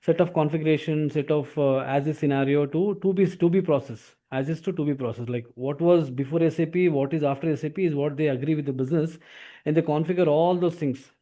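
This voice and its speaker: A man with an Indian accent explaining as a software trainer in an online demo class, with repetitive phrasing. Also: fairly high pitch, a little fast. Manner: repetitive phrasing, often adds "actually".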